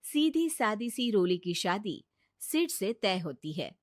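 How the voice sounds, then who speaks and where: A woman's voice: medium pitch, big pitch swings, takes it slowly, no room sound, studio quality. A woman reading scripted storybook narration as the narrator of a domestic tale.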